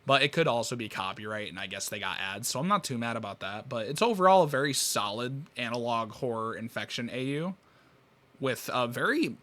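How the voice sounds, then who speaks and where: A man's voice: mid-range voice, animated, fairly quick, bright, forceful tone. A young man giving blunt, casual reaction commentary as a video host, recorded in a small room.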